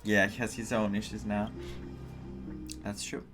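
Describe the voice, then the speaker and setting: A man's voice: on the low side, little pitch movement, measured pace, over music. A man giving casual reaction commentary on a video game playthrough, sometimes reading lines aloud. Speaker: gaming streamer reacting to a story playthrough.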